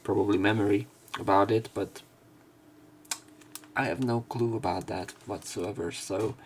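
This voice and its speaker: A man's voice: on the low side, fairly even intonation, measured pace, darkish tone, slight background noise. A young man hosting a let's play, casually commenting and reading narration aloud in a rambling, hesitant way.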